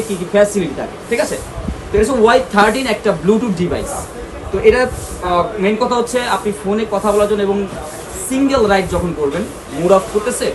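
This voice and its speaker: A Bangladeshi man with a high voice presents shop products at a quick pace. He addresses viewers directly in a casual sales style, dropping in English words and little check-in tags. Ambient noise is clearly audible behind him. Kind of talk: casual promotional product showcase. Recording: clearly noisy, ambient background sound.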